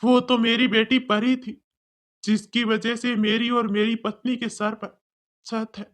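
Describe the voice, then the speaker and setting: A woman's voice: on the high side, flat delivery, a little slow, voice pushed down, lightly acted. A woman voicing a humble, regretful older father in a cartoon story, speaking earnestly and respectfully. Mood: sorrowful.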